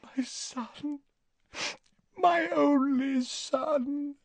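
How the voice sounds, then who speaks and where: A man voicing a desperate, tearful aristocratic mother in an audiobook, pleading in a high, tearful voice. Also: animated, slow pace, creaky, no room sound, heavily acted. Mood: weeping. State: crying. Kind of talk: audiobook character voice.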